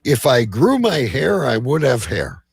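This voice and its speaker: A man with a gravelly, lively voice hosts a live comedy show. His pitch moves around a lot as he teases and swears freely, and the sound is close and dry. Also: measured pace, no room sound. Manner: teasing, foul-mouthed.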